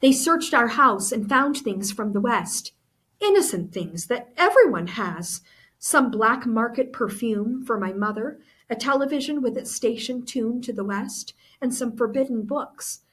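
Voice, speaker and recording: A woman's voice: on the high side, animated, a little slow, a bit bright, slightly rough, heavily acted. A woman reading the part of an anxious, earnest girl in a children's novel, pleading as she talks. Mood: anxious, confiding.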